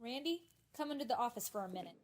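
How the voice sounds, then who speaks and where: A woman's voice: a little high, expressive, fast, soft background music, natural voice. A woman acting in a radio drama as a hesitant newspaper office assistant to the editor. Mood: uneasy.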